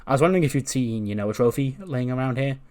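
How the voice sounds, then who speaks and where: A female wrestler with little patience, voiced by a man recounting a story. She is brisk and blunt, firing off questions in an exasperated way. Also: medium pitch, lively intonation, a little mellow, natural voice. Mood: polite, inquiring.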